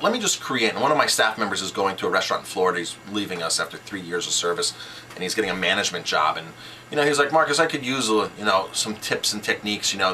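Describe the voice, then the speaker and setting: Bright-voiced man with a lowish pitch. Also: a little hiss or hum, rough recording. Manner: emphatic, repeats points in short sentences. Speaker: restaurant owner teaching business tips. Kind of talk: informal instructional talk to camera.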